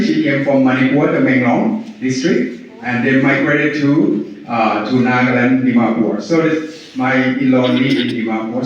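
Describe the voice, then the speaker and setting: Man speaking from far off in an echoey hall. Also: medium pitch. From Thailand. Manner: halting, many fillers and restarts, laughs at his own jokes. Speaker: head of partnership engagement at a farming organization. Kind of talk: speaking to an audience at an event. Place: large hall at an event. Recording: echoey room, voice from far off, dull lo-fi sound.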